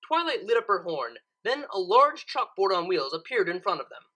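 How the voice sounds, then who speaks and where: A woman's voice: medium pitch, sing-song, normal speed, sharp tone, rough recording. A woman reading fan fiction aloud as narrator, in a storybook style.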